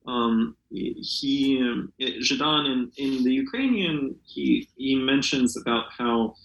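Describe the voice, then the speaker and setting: Halting man with a bright, roomy sound. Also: medium pitch, dull audio. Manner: halting, hesitant, searching for words. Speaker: literary translator, interview guest. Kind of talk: unscripted interview discussion.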